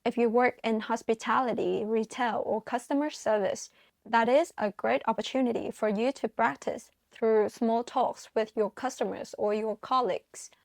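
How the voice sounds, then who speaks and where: A woman's voice: fairly high pitch, steady tone, moderate pace, creaky, a little mellow, no room sound, studio quality. A young woman with a non-native English accent, speaking earnestly and encouragingly to viewers in a scripted advice monologue. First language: non-English, learned English at school. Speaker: vlogger giving advice to migrants.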